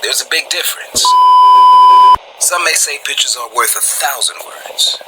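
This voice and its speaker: A male radio host delivering a scripted motivational monologue in an earnest, deliberate manner, speaking directly to his listeners. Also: medium pitch, animated. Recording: noisy radio-style recording with ambience.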